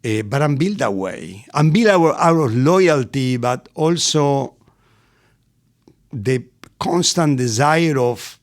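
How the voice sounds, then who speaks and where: A middle-aged man from Argentina with an Argentinian accent, a guest in an interview who tells lively stories and laughs often. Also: medium pitch, takes it slowly. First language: Spanish. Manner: animated, laughs often, acts out voices in anecdotes. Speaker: restaurant executive guest. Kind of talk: storytelling interview.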